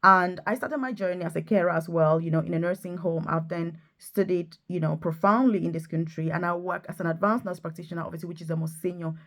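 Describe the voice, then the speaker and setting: A woman's voice: lowish voice, fairly even intonation, fast talker, few pauses. A woman hosting an enthusiastic promotional vlog, talking straight to viewers in an emphatic, urging manner and repeating points for stress. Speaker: video host, nurse and career coach.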